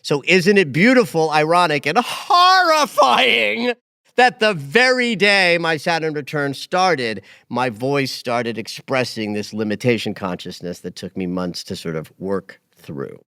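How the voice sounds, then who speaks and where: Animated man in his late fifties, lively swings. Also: a little slow, dry recording, studio quality. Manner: expressive, swings between emphatic highs and quiet asides. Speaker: astrology podcast host. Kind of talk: casual conversational chat.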